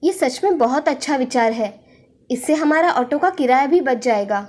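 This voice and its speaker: High-voiced supportive village wife acted by a woman. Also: expressive, normal speed, darkish tone. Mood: pleased.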